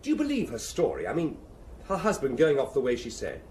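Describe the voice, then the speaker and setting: An actor playing an eager, courteous young police assistant, keen and questioning, in a radio drama with a roomy sound. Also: male voice, mid-range voice, wildly swooping pitch, a little fast, darkish tone. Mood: curious. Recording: roomy echo with faint background noise.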